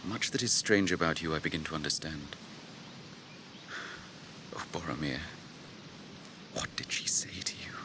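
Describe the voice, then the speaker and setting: An English actor playing a stern, noble warrior captain in a radio drama, with a grave, deliberate, declamatory delivery. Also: male voice, a bass voice, noisy background. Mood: haunted, grieving. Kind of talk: BBC radio drama acting.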